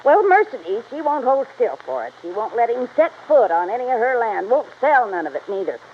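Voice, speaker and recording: A folksy older small-town widow with a rural American accent. She rambles and exclaims, her pitch swinging up and down. It is radio drama, with a muffled sound and light hiss. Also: very animated, fairly quick. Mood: gossipy. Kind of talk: old-time radio drama acting. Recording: dull, hissy vintage radio recording.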